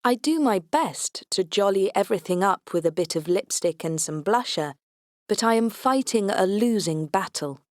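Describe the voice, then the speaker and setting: Woman, audiobook narrator, wry tongue-in-cheek telling, mid pitch, dead dry sound. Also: lively intonation, moderate pace, dry recording. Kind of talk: scripted first-person audiobook narration.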